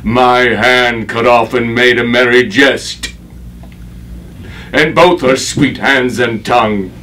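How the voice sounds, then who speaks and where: A man's voice: sits low, flat delivery. An actor playing a vengeful, grief-maddened Roman father in a declamatory Shakespearean stage monologue. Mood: raging, anguished. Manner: declamatory, deliberate, emphatic. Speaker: actor in a workshop. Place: acting workshop, indoors. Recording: distant mic, clearly noisy workshop room.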